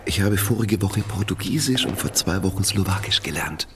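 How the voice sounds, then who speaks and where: Very deep-voiced devout prisoner. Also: male voice, normal speed, vocal fry, noisy background. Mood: solemn, earnest. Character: devout, steadfast imprisoned male preacher of the 1870s. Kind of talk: historical radio drama acting.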